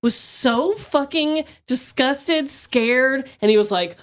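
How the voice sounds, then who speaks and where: A woman's voice: high voice, slow, no room sound. A woman co-hosting a podcast, telling stories in casual, candid chat and swearing freely. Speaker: podcast co-host.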